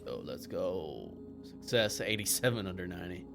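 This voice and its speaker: Dude at a game table, low voice, casual player chat, faint music underneath. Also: male voice, very animated, normal speed, gravelly.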